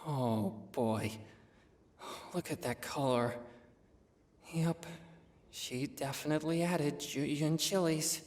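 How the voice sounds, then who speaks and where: A woman playing an earnest, polite and excitable teenage boy exorcist in a video game, with an expressive, sometimes hesitant delivery. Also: low voice, slow pace, pauses often, slight background noise. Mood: reluctant, dismayed.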